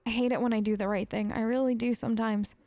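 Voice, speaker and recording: A woman's voice: on the high side, fairly even intonation, fast talker, mellow, dry recording. A woman hosting a role-play game let's play, talking casually in a sassy, rambling way. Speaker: gaming host, role-playing her character.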